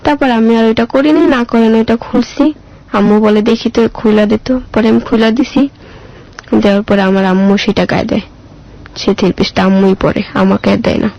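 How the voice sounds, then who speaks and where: A woman's voice: fairly high pitch, fairly even intonation, moderate pace, gravelly, highs cut off. A girl in her mid-teens with a colloquial Bangladeshi accent, telling her own story simply in an interview with short, plain answers.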